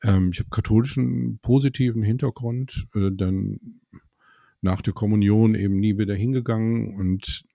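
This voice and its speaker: A man's voice: lowish voice, fairly even intonation, normal speed, creaky, warm tone, no echo, studio quality. A middle-aged man telling his faith story in a candid, wry, conversational way.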